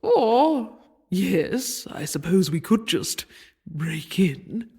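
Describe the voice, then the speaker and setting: A woman's voice: mid-range voice, sing-song, extremely slow, darkish tone, slightly rough, exaggerated voice. An actress playing an imperious medieval queen in an audio comedy, speaking formally and properly. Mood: flustered dignity. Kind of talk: audio comedy drama acting.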